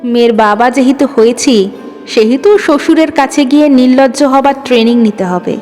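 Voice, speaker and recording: A woman's voice: sits high, moderate pace, darkish tone, lightly acted. A woman voicing a devoted young husband and father in an audio story, earnest and emotional. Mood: tearful, humbled. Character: husband, doting young father turned humbled. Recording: long reverb, music underneath.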